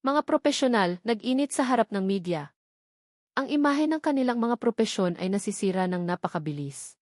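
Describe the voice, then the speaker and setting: A woman's voice: a little high, expressive, normal speed, no room sound, studio quality. A woman with a Filipino accent reading a scripted romance story as a dramatic narrator.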